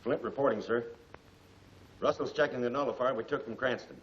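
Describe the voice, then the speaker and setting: An actor playing a brisk, obedient male gangster henchman in a 1940s film serial. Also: mid-range voice, slight background noise, lightly acted. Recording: distant, echoey, muffled 1940s film soundtrack.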